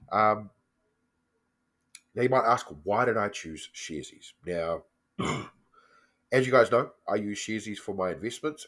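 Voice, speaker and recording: A man with a New Zealand accent talks in a somewhat low voice that is slightly croaky from a cold. His delivery is loose and chatty, full of ums and quick corrections as he points things out on screen. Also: fairly bright tone. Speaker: personal finance vlogger. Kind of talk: casual screen-share walkthrough.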